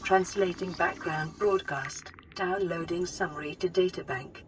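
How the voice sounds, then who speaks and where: A woman's voice: lowish voice, fairly even intonation, measured pace, a little hiss or hum, close to an everyday voice. A woman playing an impersonal computer assistant that gives terse, procedural game status alerts. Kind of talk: scripted game system announcements.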